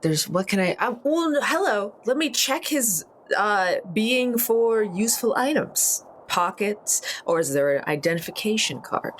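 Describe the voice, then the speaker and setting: A woman playing a tabletop roleplaying game, chatting casually and playfully thinking her moves out loud. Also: medium pitch, big pitch swings, measured pace, few pauses.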